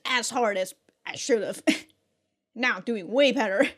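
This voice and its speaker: A woman's voice: fairly high pitch, unhurried, soft background music. A woman giving casual, slangy reaction commentary as a video host, exclaiming and swearing freely.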